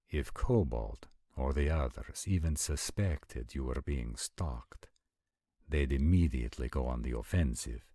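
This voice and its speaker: Very deep, slow, formal immortal warrior played by a man. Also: dark tone, no room sound, studio quality, heavily acted. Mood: admiring, earnest. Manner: formal, measured, stilted diction with deliberate pauses. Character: formal, centuries-old supernatural male warrior, concerned and protective. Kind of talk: scripted roleplay audio acting.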